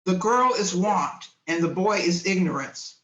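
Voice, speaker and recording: Man as a grave ghost, measured delivery, roomy and dull audio. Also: sits high, expressive, fairly bright tone. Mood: solemn. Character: solemn Christmas ghost, then a townsman.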